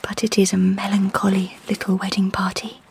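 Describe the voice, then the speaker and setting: Young Victorian bride played by a woman, earnest and brooding, breathy whispered monologue with narrow, even pitch, radio drama. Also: a little fast, noisy background, natural voice. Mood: uneasy. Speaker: lead actress and narrator in a radio drama. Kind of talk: intimate inner-monologue radio acting.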